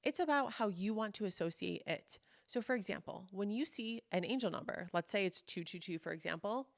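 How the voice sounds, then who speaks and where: A woman in her thirties telling personal stories in a chatty, casual monologue, full of fillers and animated quoting of others. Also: medium pitch, fairly quick, vocal fry, dry recording, studio quality. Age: 30s. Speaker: podcast host and coach.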